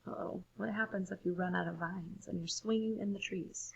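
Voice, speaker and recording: A woman reading a story aloud for children, expressive and deliberate, pausing to ask listeners questions. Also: fairly low pitch, creaky, dull audio, background noise. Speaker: storybook reader.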